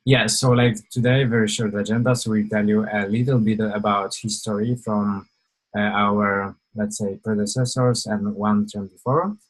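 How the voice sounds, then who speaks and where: Low flat-voiced man presenting. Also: moderate pace, poor audio. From Poland. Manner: hesitant, many fillers and restarts. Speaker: webinar presenter. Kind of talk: informal online presentation.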